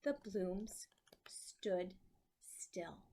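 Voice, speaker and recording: A woman reading a children's story aloud with deliberate phrasing and dramatic emphasis. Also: medium pitch, animated, dark tone.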